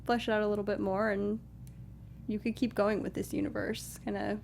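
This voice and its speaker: A woman chatting casually and agreeably as a guest on a talk show, quick to laugh. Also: mid-range voice, level tone, gravelly, darkish tone, slight background noise. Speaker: guest co-host. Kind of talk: casual chat.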